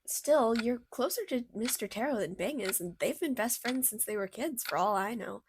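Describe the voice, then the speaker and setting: A woman voicing a bubbly, caring female cartoon character in a radio play, chatty, motherly and expressive. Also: on the high side, moderate pace, background noise. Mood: warm, encouraging. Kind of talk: fictional radio theater acting.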